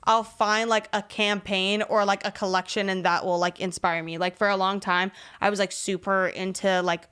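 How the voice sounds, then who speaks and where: A woman's voice: medium pitch, flat delivery, normal speed, fairly bright tone, clear, resonant tone. A young woman hosting a podcast in a casual, slangy, rambling way, peppering her talk with "like". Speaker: podcast host.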